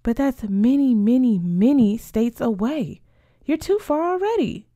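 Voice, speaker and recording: Woman as a lively, exclamatory teenage girl, higher-pitched. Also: moderate pace, no room sound, clean studio sound, close to an everyday voice. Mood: questioning. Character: teenage girl, lively, excitable best friend of the heroine.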